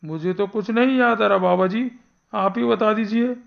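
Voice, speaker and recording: A woman's voice: medium pitch, normal speed, gravelly, close to an everyday voice. Dull-sounding woman as an anxious village headman. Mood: fearful, pleading. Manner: earnest, imploring. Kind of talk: animated horror story acting.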